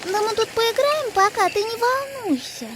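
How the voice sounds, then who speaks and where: A playful, easily offended little girl kitten for a children's cartoon. The voice is extremely high and swings widely in pitch. Clicks and noise are clearly heard behind it. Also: expressive, normal speed. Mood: carefree.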